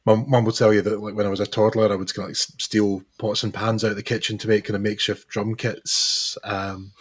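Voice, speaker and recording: A man with a hoarse, recovering voice, chatting as a musician guest in an interview, hesitant and full of restarts. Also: on the low side, little pitch movement, brisk. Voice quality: hesitant, lots of fillers and restarts. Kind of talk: casual interview chat.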